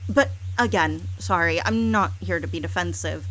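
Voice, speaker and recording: A woman delivering a scripted satirical apology monologue, sarcastic and mock-contrite, with deliberate dramatic pauses and emphatic repetition. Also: lowish voice, fairly bright tone, low-quality recording. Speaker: hypnosis audio creator.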